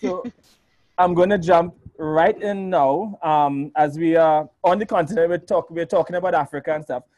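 Host, male, slightly high pitch, affirming and easygoing. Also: a little mellow, no room sound. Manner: affirming, quick back-channel agreement. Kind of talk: casual online interview.

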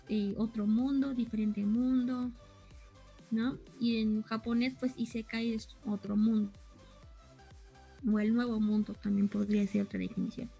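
Young woman with a high voice, relaxed conversational co-host who searches for words. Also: vocal fry, husky, low-quality recording. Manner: chatty, searches for words, trails off. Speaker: co-host explaining anime terms. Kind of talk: casual chat.

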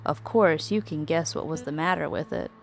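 A woman narrating a scripted children's story in an expressive, dramatic storytelling manner. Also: sits low, moderate pace, gravelly, soft background music.

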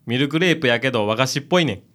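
A radio drama cafe stand-in played by a woman, a breezy, brash man with a Kansai accent. The delivery is low, quick and very lively, with a casual, folksy friendliness. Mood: proud, friendly. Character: breezy, friendly male freeter acting as cafe manager.

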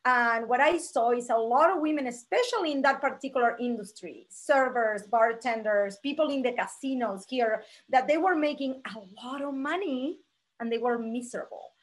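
Energetic woman from Argentina, forties. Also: fairly high pitch, normal speed, poor audio. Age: 40s. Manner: energetic, animated storyteller. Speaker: guest. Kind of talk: conversational interview storytelling.

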